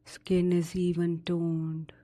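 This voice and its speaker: A woman narrating a guided sleep meditation in a soothing, unhurried manner with long pauses between short instructions. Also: fairly low pitch, completely flat delivery, warm tone.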